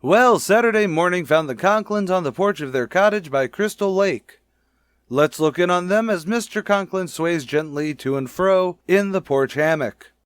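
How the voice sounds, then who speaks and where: A man's voice: high-pitched, lively intonation, normal speed, clean tone, no room sound. A man narrating a vintage radio comedy as its announcer, in a scripted storytelling style.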